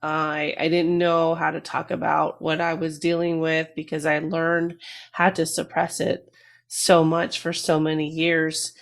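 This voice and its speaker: A woman's voice: sits low, completely flat delivery, a little slow, vocal fry. A middle-aged woman, a retired army veteran from New Jersey, speaking candidly and reflectively as an interview guest, with some hesitations. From New Jersey, USA. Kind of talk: casual interview.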